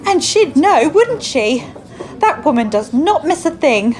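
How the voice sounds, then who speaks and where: A woman plays a prim English village baker in a radio comedy. She has a high, animated voice with a catty edge. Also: unhurried. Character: nervous, catty village cake-baking champion, female. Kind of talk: comic radio drama acting.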